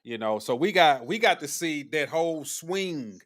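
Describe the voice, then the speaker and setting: A man of about forty hosting a casual livestream chat, relaxed and slangy, with lots of "mm-hmm" and easy laughs. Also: fairly high pitch, normal speed, dry recording, clean studio sound. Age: 40s. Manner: laid-back slang, frequent "mm-hmm" and laughs. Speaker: livestream host.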